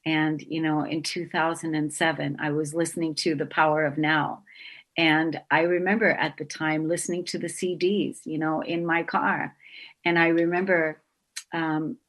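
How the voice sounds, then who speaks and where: A woman with a low, deep-set voice hosts a spiritual talk show. She speaks in an earnest, reflective way, pausing on ums as she gathers her thoughts. She is heard over a remote call. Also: flat delivery, fairly bright tone. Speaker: guest host. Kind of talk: spiritual talk radio chat.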